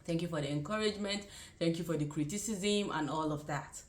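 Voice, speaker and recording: A young woman with a Nigerian accent speaks in a low-pitched voice. She talks in a casual, conversational vlog style, offering advice and rephrasing her points as she goes. The sound is echoey, as if recorded in a bare room. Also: brisk. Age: young adult. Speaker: vlogger and nursing student. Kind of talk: casual direct-to-camera advice chat. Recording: roomy, echoing sound.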